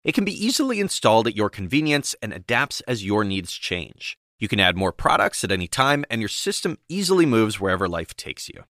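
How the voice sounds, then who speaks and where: A man reading a scripted commercial in a smooth, polished way. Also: fairly low pitch, big pitch swings, brisk, few pauses, fairly bright tone, no echo, studio quality. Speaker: ad announcer.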